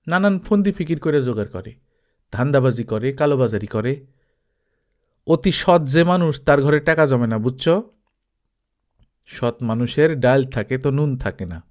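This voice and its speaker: A man voicing a wealthy, shady village trader in an audiobook story, brazen, calm and domineering, talking colloquially and justifying himself with rhetorical questions. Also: mid-range voice, moderate pace, long pauses, close to an everyday voice. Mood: persuasive, self-assured.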